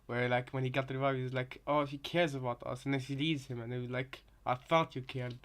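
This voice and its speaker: A man's voice: medium pitch, fairly even intonation, fairly quick, a bit bright. A man in casual chat as a co-commentator, explaining things simply and hesitantly, often restarting and repeating his phrases.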